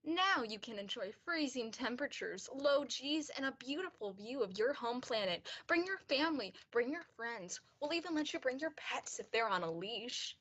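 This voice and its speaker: A young woman plays a peppy saleswoman in a spoof radio ad. Her voice sits a little high as she pitches to listeners. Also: expressive, normal speed, hardly any pauses, fairly bright tone, slightly rough, dull audio. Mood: upbeat selling. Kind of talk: mock radio commercial.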